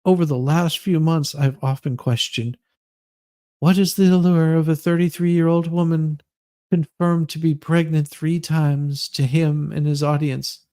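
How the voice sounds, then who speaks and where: A man's voice: a little high, fairly even intonation, a little slow, dark tone, no echo, studio quality, close to an everyday voice. A man voicing an aggrieved thirty-something woman in a theatrical, overwrought dramatic reading of her self-pitying post. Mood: exhausted, pleading.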